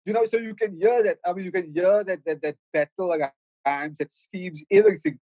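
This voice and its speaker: A middle-aged man with a Cape Town South African accent speaks as a podcast guest, his voice sitting a bit high. He thinks aloud, restarting phrases over and over, into a dry close mic. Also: normal speed, dark tone, dry recording, low-quality recording. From South Africa, Cape Flats in Cape Town. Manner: reflective, with frequent stammered restarts. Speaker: podcast guest, rapper and producer. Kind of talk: casual podcast conversation.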